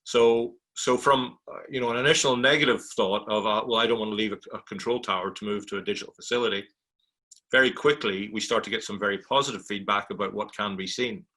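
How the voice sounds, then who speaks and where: A man speaking as an industry panelist, explaining points in a measured, conversational way. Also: fairly low pitch, fairly even intonation, bright, forceful tone. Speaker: technology provider, panelist.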